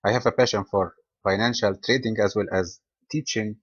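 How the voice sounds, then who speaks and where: A man from Egypt presenting a trading webinar as host, delivering a steady scripted lecture with non-native phrasing. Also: lowish voice, brisk, no echo, muffled recording.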